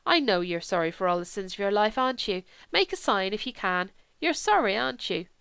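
A woman voicing a simple, genial male priest in an audiobook, plain-spoken, gently coaxing and reassuring. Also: medium pitch, animated, brisk, vocal fry, clear, resonant tone, no echo. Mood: solemn urging. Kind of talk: audiobook character dialogue.

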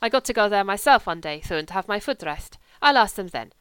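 A wolfish, lolling young German man with a slight lisp, tossing out offhand broken English, as a woman puts him on. The voice is clear and smooth. The recording is close and dry. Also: mid-range voice, lively intonation, a little fast, a bit bright, no room sound, close to an everyday voice. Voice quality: lisp from a missing tooth.

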